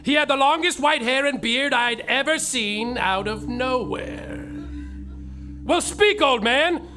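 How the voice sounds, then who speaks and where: An actor playing a brash, foul-mouthed detective who narrates a comic space adventure theatrically in a live podcast show. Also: male voice, very high-pitched, slow pace, bright, forceful tone, full character voice. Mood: wry narrating. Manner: theatrical, exclamatory. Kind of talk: comedic live storytelling, mock-detective narration. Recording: live theater recording with faint music.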